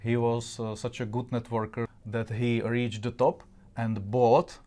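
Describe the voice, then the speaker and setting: A man who is a non-native English speaker, hosting an interview in a halting way. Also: medium pitch, level tone, mellow. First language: not English. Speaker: interviewer and host. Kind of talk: interview with greetings to an audience.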